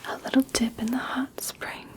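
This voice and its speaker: A woman playing a gracious, coaxing elf princess in a whispered ASMR roleplay, speaking softly and soothingly with formal politeness. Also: lightly acted. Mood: soothing, inviting. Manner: soft, slow, soothing, formal. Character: regal elf princess, gracious, coaxing, subtly conditional kindness.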